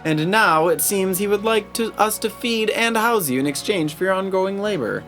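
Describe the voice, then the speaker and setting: A woman voicing a stern, aloof captain in a video game, formal, measured and cool, with music behind. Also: mid-range voice, animated, fairly bright tone, close to an everyday voice. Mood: stern, appraising. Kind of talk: voiced video game dialogue.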